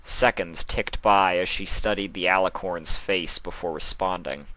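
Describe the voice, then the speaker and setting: A man reading scripted fan fiction narration in a measured, expressive way. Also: low voice, a little hiss or hum, low-quality recording.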